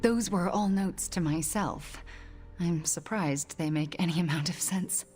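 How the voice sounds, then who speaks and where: A young sorceress played by an actress speaks in a low, soft voice that turns breathy at the ends of phrases. She is brooding and earnest, weighed down by guilt yet determined. It is game cutscene drama with music underneath. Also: unhurried, natural voice. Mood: apologetic, regretful. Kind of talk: video game cutscene acting.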